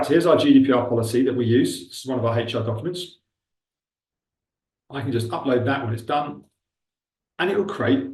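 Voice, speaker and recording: A man's voice: mid-range voice, distant mic. Milton Keynes man, echoey and off-mic. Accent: Milton Keynes English. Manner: chatty, self-interrupting, thinks aloud while clicking. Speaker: recruitment trainer. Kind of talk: casual screen-share tutorial. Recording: roomy echo, speaker away from the mic.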